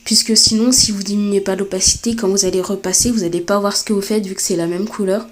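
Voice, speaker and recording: A woman with a cold giving a step-by-step drawing tutorial, talking hesitantly with many filler pauses. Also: medium pitch, steady tone. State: has a cold. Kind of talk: screen-recorded step-by-step tutorial.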